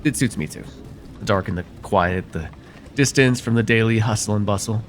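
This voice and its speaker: Male actor as courteous, faintly sinister vampire therapist for ASMR roleplay. Lowish voice, formal, gently hypnotic, noticeable background noise. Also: moderate pace, natural voice. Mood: courteous, measured. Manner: formal, hesitant, gently hypnotic, addressing the listener directly.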